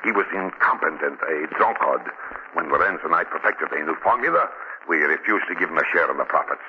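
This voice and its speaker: An actor playing a nervous, defensive, scornful chemist in 1940s radio drama, agitated and emphatic. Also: male voice, rumbling low, expressive, fairly quick, husky, full character voice. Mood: defensive, dismissive. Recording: muffled, noisy 1940s radio recording.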